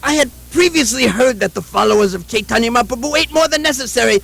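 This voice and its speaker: A man voicing a puppet of a fussy, gluttonous, sanctimonious holy man, insistent and repetitive, with a raspy, creaky voice in a theatrical show style. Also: very high-pitched, expressive, quick pace, a bit bright, faint music behind, heavily acted. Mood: scandalized, scolding.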